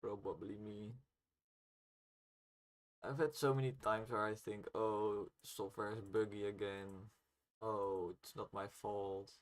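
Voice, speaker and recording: A man's voice: lowish voice, steady tone, slow pace, pauses often, low-quality recording. A man chatting casually on a game development livestream, muttering to himself as he thinks aloud while working.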